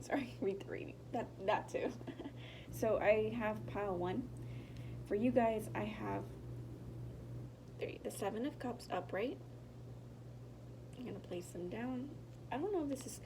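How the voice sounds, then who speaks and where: A woman's voice: mid-range voice, unhurried, pauses often, roomy sound, distant mic, background noise. Nasal, tired woman reading tarot. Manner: rambling, self-correcting, apologetic, drops into whispers. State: nasal and tired from a sinus infection. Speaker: tarot reader. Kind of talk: casual pick-a-card tarot reading.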